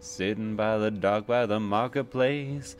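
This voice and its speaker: A man's voice: on the low side, a little slow. A man giving casual let's play commentary, explaining the game and thinking aloud as he goes. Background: game music.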